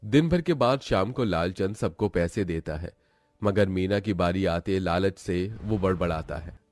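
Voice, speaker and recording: A man's voice: low-pitched, unhurried, a little mellow, no echo, clean studio sound. A man as narrator, reading a scripted cartoon story.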